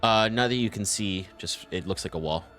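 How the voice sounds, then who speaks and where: A man narrating as game master of a tabletop game, relaxed and laughing often, with many fillers. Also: sits low, fairly quick, faint music behind.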